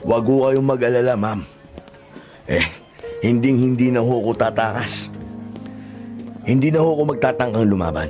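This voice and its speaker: An actor with a Filipino accent playing a stubborn, wounded fugitive brother in an emotional, questioning radio drama delivery. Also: male voice, medium pitch, moderate pace, long pauses, over music, natural voice. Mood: repentant. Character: stubborn wounded male fugitive, bitter then repentant elder brother.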